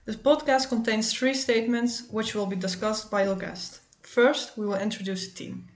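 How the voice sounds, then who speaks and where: A woman's voice: medium pitch, normal speed. A young woman hosting a student podcast, reading formally from a script. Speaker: podcast host. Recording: roomy, faint background noise.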